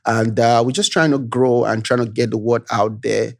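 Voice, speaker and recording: A man from Nigeria with a Nigerian accent, a tech founder telling his story as an interview guest in a relaxed, easygoing way that is full of "you know" tags. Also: mid-range voice, normal speed, vocal fry. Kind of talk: casual interview chat.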